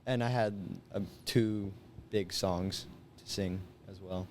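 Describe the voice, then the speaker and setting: A man's voice: sits low, steady tone, takes it slowly, darkish tone. A young man in his late teens chatting casually as a guest, explaining things in a loose, informal way. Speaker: high school senior guest. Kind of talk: casual chat.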